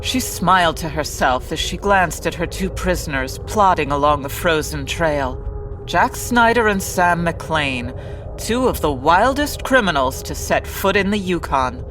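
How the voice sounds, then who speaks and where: A woman's voice: fairly low pitch, expressive, unhurried, music in the background, poor audio. A woman playing an earnest narrator of an old-time radio adventure serial, reading scripted dramatic narration.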